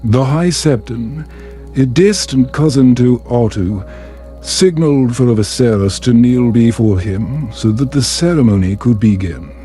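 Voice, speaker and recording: Very dark, mellow male bedtime narrator. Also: mid-range voice, faint music behind. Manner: soothing, measured, unhurried. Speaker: storyteller, narrator. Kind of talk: calm bedtime story narration.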